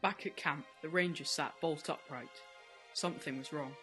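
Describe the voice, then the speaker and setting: A man's voice: on the high side, normal speed, fairly bright tone, faint music behind. A man giving scripted storytelling narration for an audio play.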